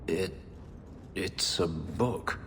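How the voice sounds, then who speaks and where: An actor playing a brooding male elf, an escaped slave and warrior, speaking in a grave, measured, bitter way. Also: sits low, a bit bright, a little hiss or hum, exaggerated voice. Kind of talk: video game voice acting. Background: game music score.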